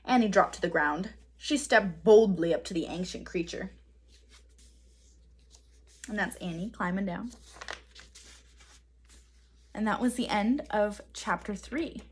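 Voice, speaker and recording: A woman teacher reading a children's storybook aloud in a dramatic, deliberate way, pausing for emphasis. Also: medium pitch, husky.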